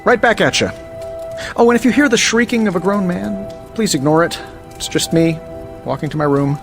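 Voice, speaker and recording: An actor playing a flippant superhero inventor in a video game cutscene, sarcastic and chatty with nervous bravado and hesitant asides. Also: male voice, sits high, normal speed, gravelly. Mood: joking. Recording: loud music underneath. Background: music.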